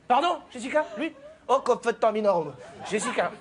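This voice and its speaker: A man's voice: extremely high pitch, expressive, a little fast, slight background noise. A man playing a blunt country father. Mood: loud, fussy. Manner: blunt, repetitive, muttering verbal tics. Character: gruff, suspicious, rural Alsatian father, middle-aged, tactless.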